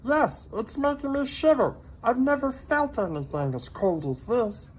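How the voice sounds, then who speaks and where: Someone voicing a high-pitched, gloomy little pet salamander. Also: expressive, measured pace, husky. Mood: wry, nervous. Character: small loyal male pet salamander, world-weary and gloomy. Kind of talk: children's storybook character voice.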